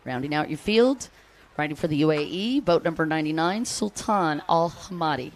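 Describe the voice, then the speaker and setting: A woman's voice: low voice, animated, moderate pace, slight background noise. An American woman giving live race commentary, chatty and upbeat, calling out riders and greeting fans between lap updates. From United States.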